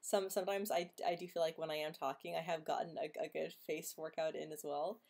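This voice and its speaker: Woman with a stutter doing a live q&a, lots of repeats and blocks, lowish voice. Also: little pitch movement, a little fast, a little mellow. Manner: stutters with repetitions and blocks, laughs often. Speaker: livestream host answering viewer questions. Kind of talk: casual live chat reading comments. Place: home, live stream.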